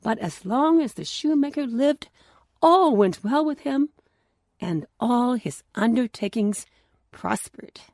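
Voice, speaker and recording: A woman reading a scripted children's story as host, in an expressive, deliberate way that drops into hushed tones. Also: fairly high pitch, no room sound, studio quality.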